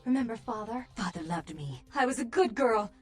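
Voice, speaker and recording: A woman playing a vampire with two warring personalities, stern and wild, in dramatic video game acting that swings between hushed and fierce. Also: a little high, lively intonation, fairly quick, faint music behind. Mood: cold, accusing.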